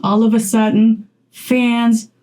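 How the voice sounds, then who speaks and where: A woman's voice: fairly high pitch, flat delivery, takes it slowly, dark tone. A young woman from Poland talking straight to camera in a chatty vlog, laughing often as she gives advice. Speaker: content creator.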